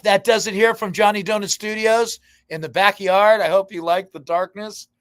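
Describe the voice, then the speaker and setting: A man's voice: sits high, steady tone, normal speed, fairly bright tone, no room sound. A man hosting a casual live sports podcast from a backyard studio, with loose, joking banter. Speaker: podcast host.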